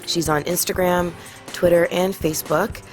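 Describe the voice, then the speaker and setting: A woman's voice: lowish voice, fairly even intonation, normal speed, faint music behind. Bubbly, giggly podcast host woman. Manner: bubbly, laughs often, many fillers. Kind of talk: casual podcast chat and show intro.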